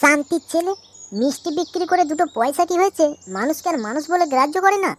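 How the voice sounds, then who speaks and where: A woman's voice: high-pitched, fast talker, noisy background, close to an everyday voice. A woman voicing a brash, cheeky, argumentative trickster in a Bengali comedy cartoon, with a coarse way of talking. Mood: indignant. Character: brash cartoon trickster and naive shopkeeper's child.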